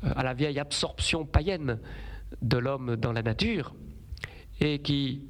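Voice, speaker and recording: Measured, deliberate male lecturer. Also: mid-range voice, a bit bright. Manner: deliberate, phrase-by-phrase delivery with occasional hesitations. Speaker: lecturer on biblical theology.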